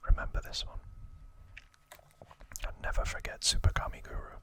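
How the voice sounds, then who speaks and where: A man whispering an ASMR recap in a breathy, unvoiced voice, explaining casually and haltingly as host. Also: bright, forceful tone.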